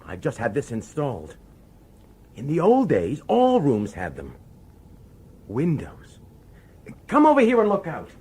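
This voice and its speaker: Nervous police boss in a radio play, raspy, super up and down, pushy but pleading. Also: male voice, medium pitch, huge pitch swings, a little slow, long pauses, darkish tone, heavily acted. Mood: uneasy. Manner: urgent, hesitant, pleading authority. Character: harried, nervous male police commissioner, anxious and insistent. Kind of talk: radio drama acting.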